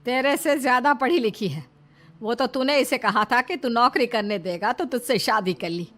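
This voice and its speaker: Woman acting a plaintive, long-suffering mother-in-law, high voice. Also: normal speed, fairly bright tone, close to an everyday voice. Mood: indignant. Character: long-suffering middle-aged mother-in-law, protective and pleading. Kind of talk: dramatised Hindi moral story.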